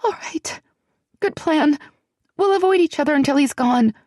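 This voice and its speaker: A high, fretful young woman with a mellow, dark tone, as a woman narrator puts her on. She speaks in quick, worried bursts, her voice lifted well above the narrator's own. The recording is dry and close. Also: lively intonation, no room sound. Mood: eager. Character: frightened young woman, anxious friend.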